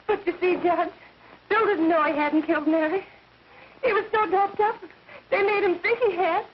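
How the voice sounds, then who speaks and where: An actress playing a guilt-ridden, distraught woman making a halting, anguished confession in 1930s melodramatic film style. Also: extremely high pitch. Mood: tearful, anguished. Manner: anguished, halting, tearful delivery. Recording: dull noisy 1930s film soundtrack.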